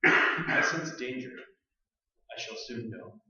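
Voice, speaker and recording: A solemn doctor who hunts apparitions , played by a man in a staged radio play. His mid-range voice is formal, deliberate and pompous. It is heard from a distance in a dull, roomy recording. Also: medium pitch, expressive, background noise. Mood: grave. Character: solemn, pompous male doctor of psychic research.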